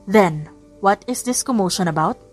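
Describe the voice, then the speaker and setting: A woman's voice: medium pitch, lively intonation, unhurried, faint music behind. A woman voicing a stern, haughty man of authority in an audiobook story, with a commanding manner.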